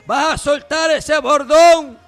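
A man leading fervent intercessory prayer, shouting and pleading in repeated commands, breaking into tongues. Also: very high-pitched, fairly even intonation, slow pace, faint music behind.

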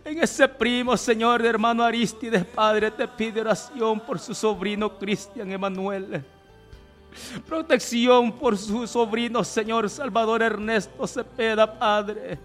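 A man's voice: high voice, fairly even intonation, slow pace, fairly bright tone. A male preacher leading a fervent Pentecostal healing prayer, pleading and exclamatory, with repeated cries of praise. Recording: music playing behind the voice. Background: music.

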